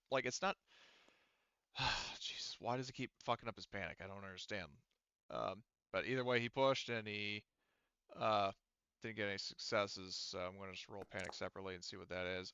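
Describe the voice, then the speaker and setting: Bright, clear-voiced male game master. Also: lowish voice, dry recording, lo-fi sound. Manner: casual, profane, mutters to himself. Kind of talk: running a tabletop roleplaying game online.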